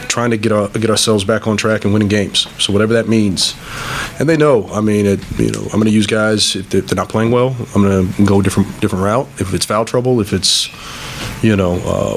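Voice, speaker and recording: A male basketball coach with a fairly low voice answers at a post-game press conference. He restarts phrases as he thinks, over slight background noise.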